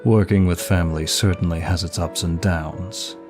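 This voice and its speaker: A man playing a suave, seductive demon of lust in an intimate audio roleplay, flattering the listener in a formal, flirtatious manner. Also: low-pitched, lightly acted. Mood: charming, confiding. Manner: smooth, flirtatious, formal, unhurried. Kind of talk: intimate ASMR-style roleplay, one side of a dialogue. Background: music.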